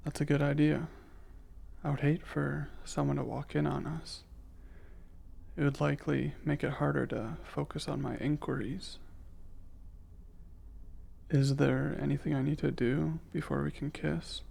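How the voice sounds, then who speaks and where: A man playing a curious, reserved male alien in an ASMR roleplay, speaking softly in formal, precise and hesitant wording. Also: fairly high pitch, gravelly, breathy edge, exaggerated voice. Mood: tentative, polite. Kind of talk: ASMR roleplay, soft intimate delivery.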